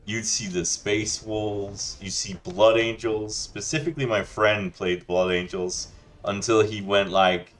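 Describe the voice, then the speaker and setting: Low-voiced rambling man. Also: little pitch movement, a little slow, a bit bright. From Denmark. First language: Danish. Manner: rambling, loose and chatty, many restarts and "you know". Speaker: gaming channel host. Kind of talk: casual rant over gameplay.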